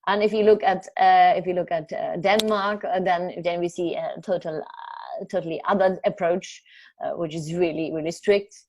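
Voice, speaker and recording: Female guest speaker. Slightly low voice, dry sound, informal answers with halting word searches. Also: fairly even intonation, darkish tone, no echo. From Germany or the Netherlands. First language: German. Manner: halting, searching for words, frequent self-repairs. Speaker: journalist and author, guest speaker. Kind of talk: informal spoken talk answering audience questions. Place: online video call.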